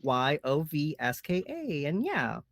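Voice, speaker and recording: A man's voice: on the high side, wildly swooping pitch, slow, no room sound, clean studio sound. A man born in Mexico and raised in Canada, casually introducing himself as a panelist. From born in Mexico, grew up in Canada from age seven. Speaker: panelist, drag streamer.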